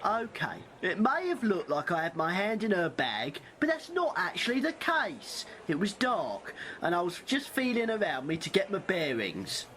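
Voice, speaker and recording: Guy acting a defensive british passenger in a radio play, sardonic, lively pitch, slight noise behind. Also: moderate pace, few pauses, full character voice. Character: defensive passenger accused of theft, protesting innocence.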